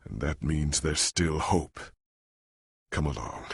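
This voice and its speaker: An actor playing a noble, ageing adventurer hero in a video game, solemn and earnest, with a gravelly, husky voice and a grave, formal, dramatic delivery. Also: male voice, rumbling low, slow pace, dry recording, clean studio sound, exaggerated voice. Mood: somber.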